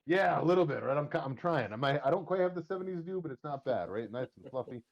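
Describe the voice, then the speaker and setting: A man's voice: fairly high pitch, expressive, fast, highs cut off. A man hosting a casual chat show, rambling and joking with crude language. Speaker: podcast host.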